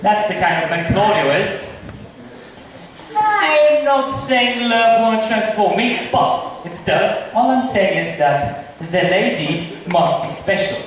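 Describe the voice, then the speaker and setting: A man's voice: high-pitched, sing-song, slow pace, full character voice. A man playing a witty, scornful bachelor who mocks love in an amateur school stage comedy. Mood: mocking. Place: large echoey indoor hall. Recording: very echoey hall from far off, hissy.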